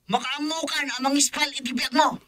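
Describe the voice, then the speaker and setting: A man's voice: extremely high pitch, fairly even intonation, brisk, pushed and bright. An actor playing Edong, a frightened accomplice in a radio drama who urgently warns his partner to flee. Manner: urgent, insistent warnings. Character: Edong, frightened male accomplice urging escape.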